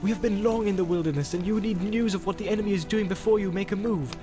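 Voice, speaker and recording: A man voicing a proud, tall warrior who is grasping and quick to rage, in a booming, declamatory read. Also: over music, close to an everyday voice. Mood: persuasive. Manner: booming declamatory delivery, rising to shouts.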